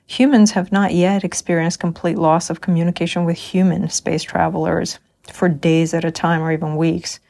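A woman's voice: fairly low pitch, flat delivery, normal speed, vocal fry, few pauses, a little mellow. A middle-aged woman, a crew member in a simulated Mars habitat, reflecting thoughtfully and earnestly in an audio log.

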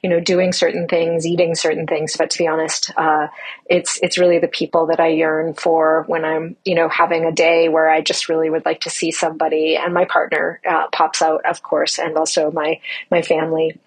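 A woman's voice: sits low, monotone, normal speed, slightly rough, rough recording. A woman speaking as a mission commander, giving an informal audio log update in an upbeat, emphatic manner. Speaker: mission commander of a Mars analog crew.

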